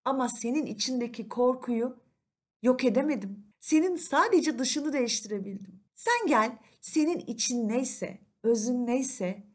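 A woman's voice: a little high, animated, measured pace. A woman voicing a friendly, patient wizard in a children's tale, with a singsong, coaxing manner. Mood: gentle, resigned. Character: friendly spell-casting wizard, patient companion to mouse.

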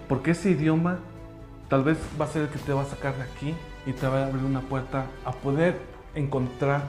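A man whose first language is English gives an earnest, emphatic motivational talk about his language school, speaking in halting phrases. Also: medium pitch. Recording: roomy echo with faint music.

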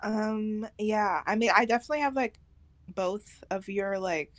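A woman's voice: mid-range voice, normal speed. A young woman chatting casually as a podcast co-host, in an informal, loosely phrased way. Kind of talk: casual chat.

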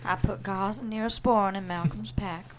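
A woman's voice: medium pitch, steady tone, moderate pace, noisy background, lightly acted. A woman playing a caring, motherly rural wife in a screenplay drama read. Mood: tender concern.

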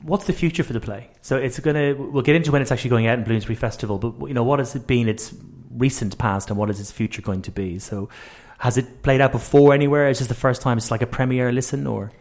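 A man's voice: mid-range voice, fast talker, hardly any pauses, darkish tone. A man hosting a casual radio interview, speaking in an informal and encouraging way.

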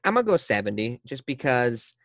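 A man's voice: medium pitch, big pitch swings, fairly quick, fairly bright tone, dry recording, clean studio sound. A man co-hosting a casual sports podcast, laid-back and a bit hesitant. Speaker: podcast co-host. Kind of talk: casual chat.

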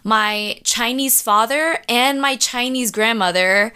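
A young woman from Jamaica chats casually, with lively emphasis and constant check-ins. Her voice sits somewhat high. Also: takes it slowly, clean tone. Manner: animated, emphatic, keeps asking "do you know what I'm saying?". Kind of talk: casual chat.

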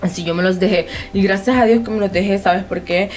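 A woman's voice: mid-range voice, steady tone, brisk, music in the background. A woman with a Honduran Spanish accent, an interview guest telling a personal story in casual conversation, explaining things directly to the listener.

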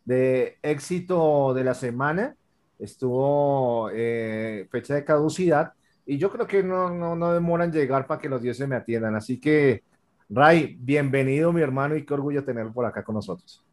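A man's voice: medium pitch, normal speed, darkish tone. A man hosting a casual radio interview, friendly and rambling, calling his guest "brother". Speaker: radio host.

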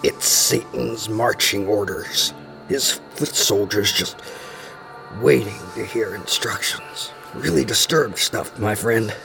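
A paranoid male inmate speaks slowly with a gravelly creak. He is fervent and brooding, halting and repeating himself, in a horror radio drama with music behind. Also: on the low side, pushed and bright, full character voice, low-quality recording. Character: disturbed locked-up man, paranoid, fervent conspiracy believer. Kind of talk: horror audio drama acting.

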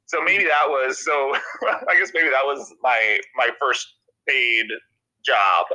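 A man's voice: mid-range voice, bright, forceful tone, rough recording. A man in his thirties with a nasal voice, a TV comedy writer chatting as a podcast guest, restarting sentences often. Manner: halting, many restarts and fillers. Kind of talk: casual interview chat.

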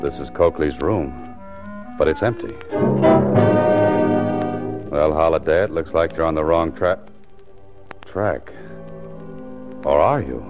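American noir sleuth, bottom-register voice, terse and self-questioning; old muffled radio drama sound. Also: male voice, rumbling low, slow pace, pauses often. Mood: brooding. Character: hard-boiled male author-detective, brooding, determined, narrating his case. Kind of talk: 1940s radio acting, noir narration. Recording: muffled 1940s radio recording, music behind.

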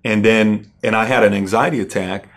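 A middle-aged man from Iran, a company CEO, telling his personal story in a halting way with many fillers and restarts. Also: lowish voice, steady tone.

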